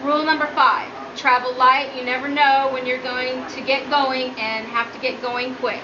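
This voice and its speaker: A woman's voice: little pitch movement, moderate pace. A woman giving a humorous prepared speech as a student, with a nervous, giggly delivery. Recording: muffled low-quality audio, noticeable background noise.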